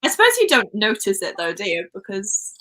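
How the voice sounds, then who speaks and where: A young woman from Wales with a Welsh accent, Welsh her first language, chatting excitedly on a live stream. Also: medium pitch, a little fast. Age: young adult. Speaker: host. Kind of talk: casual live-stream chat.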